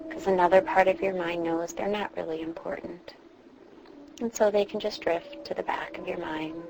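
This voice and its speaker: A woman leading a guided meditation in a soothing, reassuring manner, with drawn-out pauses between phrases. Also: medium pitch, darkish tone. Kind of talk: guided meditation, instructing a listener. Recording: clearly noisy background ambience.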